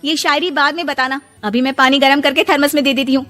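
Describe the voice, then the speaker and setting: High-pitched, exasperated young daughter-in-law acted by a woman. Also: fairly even intonation, fast, sharp tone, long reverb tail, faint music behind. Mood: irritated sarcasm. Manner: shrill wailing, sarcastic complaining. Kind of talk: melodramatic cartoon story acting.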